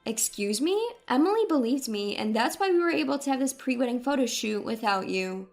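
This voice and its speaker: Woman as a firm, high-voiced grandmother. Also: expressive, a little fast, a little mellow, faint music behind. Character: calm, firm older grandmother.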